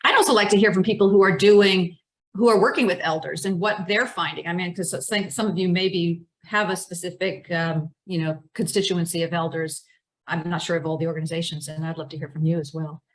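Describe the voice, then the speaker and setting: A woman speaking as a guest presenter on a video call, halting and correcting herself as she explains. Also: on the low side, fairly even intonation. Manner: halting, full of fillers and self-corrections.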